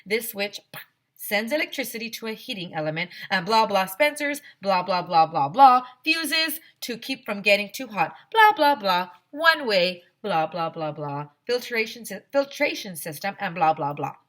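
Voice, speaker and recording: A woman's voice: medium pitch, very animated, normal speed, fairly bright tone, voice pushed up. A woman voicing a boastful, long-winded male handyman in a children's storybook. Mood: droning on. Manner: boastful, droning technical explanations.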